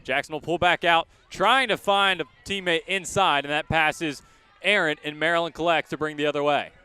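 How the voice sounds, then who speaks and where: A man calling live college lacrosse as a radio play-by-play announcer, in crisp short present-tense phrases, outdoors at a sports field. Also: on the high side, fairly even intonation, a little fast, sharp tone.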